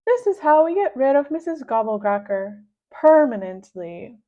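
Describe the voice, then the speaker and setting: A woman's voice: high-pitched, sing-song, normal speed, warm tone, voice pushed up. A woman voicing an eager imaginary male friend who gleefully plots mischief, in a dull lo-fi recording.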